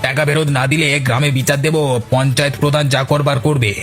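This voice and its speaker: Man acting a complaining, quick-tongued cartoon villager. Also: fairly high pitch, fairly even intonation, a bit bright, soft background music, natural voice. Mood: accusing. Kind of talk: Bengali children's cartoon dialogue.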